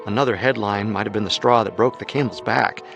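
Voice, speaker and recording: A male narrator with a low, gravelly voice tells a true-crime story at a measured pace. His delivery is scripted and steady, with soft music underneath. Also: highs cut off.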